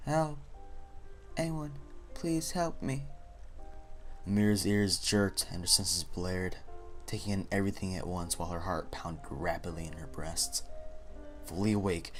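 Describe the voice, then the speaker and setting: Deep low guy reading spooky fanfic, slow and measured, faint music behind. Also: a bit bright. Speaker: story reader.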